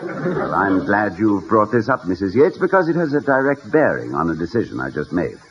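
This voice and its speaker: An actor playing a genial, tactful college president who speaks politely and carefully in a vintage radio comedy. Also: male voice, on the low side, big pitch swings. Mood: tactful, earnest. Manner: polite, measured, hesitant, wordy. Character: genial, courtly college president, tactful and gently whimsical husband. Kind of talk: 1940s-50s radio comedy acting. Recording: muffled, hissy vintage radio recording.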